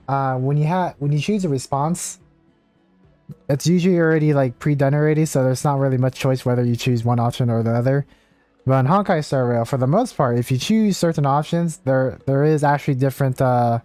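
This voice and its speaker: A man's voice: mid-range voice, warm tone, dry recording. A man livestreaming a game, chatting casually with viewers in a rambling, hesitant way. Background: faint game music.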